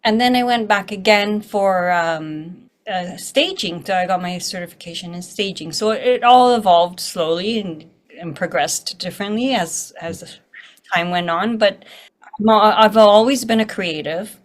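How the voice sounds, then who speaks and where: A woman from Toronto, answering interview questions in a measured, slightly hesitant way. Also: medium pitch. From Toronto, Canada. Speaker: guest, home stager.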